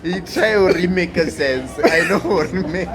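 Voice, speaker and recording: A young South African man with a South African accent, co-hosting a podcast and arguing his point in lively, laughing, code-switching banter. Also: sits high, normal speed, crowd noise. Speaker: podcast co-host.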